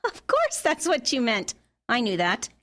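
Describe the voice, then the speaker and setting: A woman's voice: on the high side, wildly swooping pitch, a little slow, bright, forceful tone, full character voice. An actress playing a skeptical, earnest, easily exasperated small-town mother in a radio comedy mystery. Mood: indignant, defensive.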